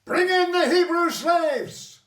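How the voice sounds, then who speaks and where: An actor playing a haughty, irritable Egyptian Pharaoh in a radio drama, imperious and dismissive. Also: male voice, extremely high pitch, slow, a bit bright, heavily acted. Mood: angry, commanding.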